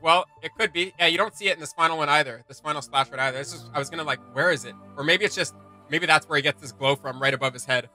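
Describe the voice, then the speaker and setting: A man's voice: on the high side, fast talker, bright, forceful tone, faint music behind. A man giving casual, chatty reaction commentary as a host, often feeling his way through a phrase. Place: small home gaming room, indoors.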